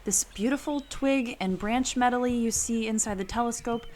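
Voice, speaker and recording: A woman playing a plucky, quick-witted adventurer in an earnest amateur radio drama. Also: a little high, darkish tone, a little hiss or hum, close to an everyday voice. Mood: feigned enthusiasm. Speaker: podcast host acting in the show. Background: music.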